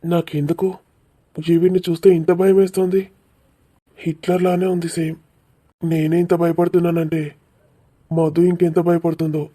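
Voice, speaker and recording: A man's voice: a little high, little pitch movement, moderate pace, long pauses, mellow, lightly acted. A man voicing an earnest, nervous young man in love in a cartoon love story.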